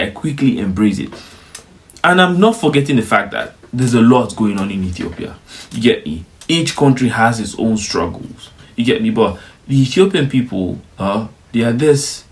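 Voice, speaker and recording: Young adult man from Africa, mid-range voice; emphatic, chatty vlog reaction, peppered with slang. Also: medium pitch. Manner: emphatic, repeats "bro" and "you get me". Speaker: vlogger reacting to footage. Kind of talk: casual reaction commentary.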